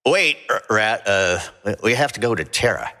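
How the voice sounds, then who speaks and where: A man playing a hesitant, bumbling space-crew sidekick in an audio drama, halting and stammering as he talks. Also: on the low side, animated, pushed and bright.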